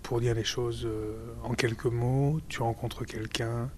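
A male podcast host with a mid-pitched voice, guiding a casual interview. He keeps the talk moving with short, probing follow-up questions. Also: flat delivery, unhurried, a little mellow, a little hiss or hum.

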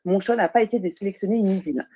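A woman's voice: mid-range voice, dark tone, slightly rough. Earnest woman explaining her baby pyjamas. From Portuguese family. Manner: earnest, explanatory, self-correcting with frequent pauses. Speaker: guest, baby clothing brand founder. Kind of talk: podcast interview.

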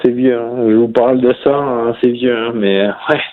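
A man with a Toulouse accent, a cycling guest in a casual interview, talking haltingly with many fillers. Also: medium pitch, fairly even intonation. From southern France. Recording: tinny phone line.

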